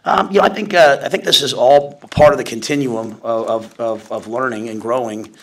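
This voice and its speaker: A man in his forties, a head football coach, answering questions at a postgame press conference from a podium, talking in a rapid, conversational way full of restarts and fillers. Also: mid-range voice, a bit bright. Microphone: podium mic.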